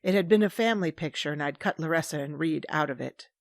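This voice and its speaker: Gravelly, cold, scheming commander. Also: female voice, low-pitched, fast, dry recording. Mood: measured narration. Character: cold, scheming vengeful woman, former Sith commander. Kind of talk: audio drama narration and dialogue. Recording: dry studio with music underneath.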